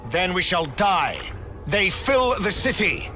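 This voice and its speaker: An embattled male warrior speaks from a fantasy game. His voice is notably high for a man, urgent and fatalistic, in formal dramatic phrases over clear music. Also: big pitch swings, takes it slowly, bright, forceful tone, heavily acted. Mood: grim alarm. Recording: voice under clear background music.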